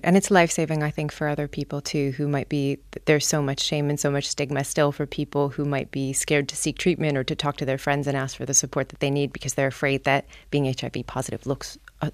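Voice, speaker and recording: A woman hosting a radio interview, asking soft-spoken, appreciative questions. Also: low voice, a little fast, few pauses, no room sound. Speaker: guest host interviewer.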